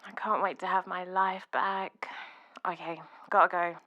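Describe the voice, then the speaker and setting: A woman's voice: on the low side, flat delivery, unhurried, pushed and bright, no room sound, lo-fi sound. An actress playing a bossy, teasing young woman with a Zimbabwean accent in an audio drama, blunt and emphatic. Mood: resigned. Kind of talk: naturalistic podcast audio drama.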